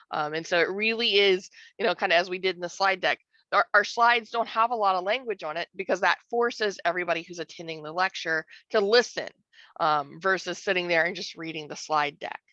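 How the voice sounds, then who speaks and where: A woman with a bright, forward voice co-presents an online teaching webinar. She talks in a chatty, practical way with frequent fillers. She is close on a headset, dry and a little dull. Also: medium pitch, no echo. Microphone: gaming headset.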